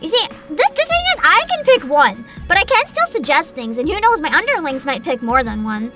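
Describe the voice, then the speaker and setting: A young woman chats on a livestream in a high, bright voice that leaps all over the place. She laughs often, stumbles through restarts, and plays up a joking company-boss act. Soft music sits faintly underneath. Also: fairly quick. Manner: giggly, animated, frequent laughter and restarts; playful mock-CEO boasting. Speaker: vtuber streamer. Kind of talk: casual livestream chat answering chat.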